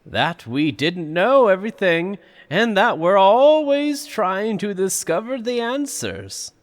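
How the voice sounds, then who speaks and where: A man's voice: high voice, big pitch swings, slow pace, heavily acted. A man playing a proud, showy older park owner in an audio drama, with a theatrical, grandiose manner. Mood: grand.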